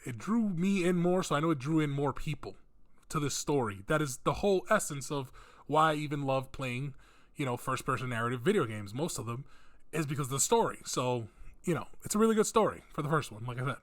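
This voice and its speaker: A man's voice: medium pitch, lively intonation, fairly quick. Rambling man hosting a casual rant. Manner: rambling, conversational, thinking aloud, emphatic. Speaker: video host giving opinions. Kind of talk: casual rant commentary on video game films.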